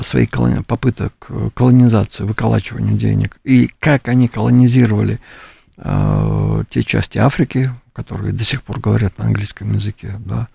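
Gravelly, husky man giving political opinions. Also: on the low side, warm tone, no echo, clean studio sound. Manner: halting, peppers speech with appeals to the listener. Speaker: studio guest being interviewed. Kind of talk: live political interview, opinionated commentary. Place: radio studio.

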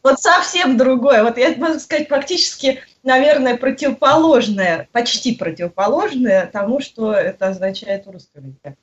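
A woman answering interview questions, pausing often and searching for her words. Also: a little high. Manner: hesitant, searching for words with frequent pauses. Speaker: guest. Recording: muffled lo-fi audio.

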